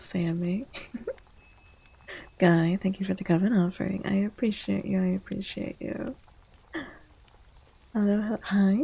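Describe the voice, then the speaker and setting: Soft, breathy woman in slow ASMR chat. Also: mid-range voice, low-quality recording. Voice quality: soft, breathy, often slipping into whispers. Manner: gentle, unhurried greeting of viewers. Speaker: livestream host, VTuber.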